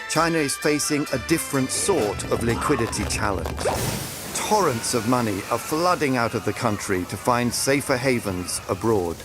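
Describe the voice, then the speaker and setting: A man reading a scripted explainer narration as the narrator. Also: mid-range voice.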